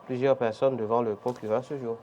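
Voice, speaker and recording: A man's voice: medium pitch, fairly even intonation, warm tone, highs cut off, a little hiss or hum. A man with a Beninese French accent hosting a morning TV press review, reading headlines and prompting his guest. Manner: brisk presenter, reads headlines, frequent mhm. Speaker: host.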